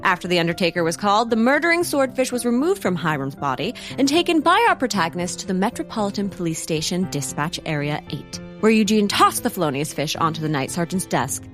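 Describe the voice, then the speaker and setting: A woman's voice: medium pitch, sing-song, fast, hardly any pauses. A woman playing a playful, theatrical narrator, giving dramatic scripted narration for a comic murder-mystery serial. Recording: loud music under voice.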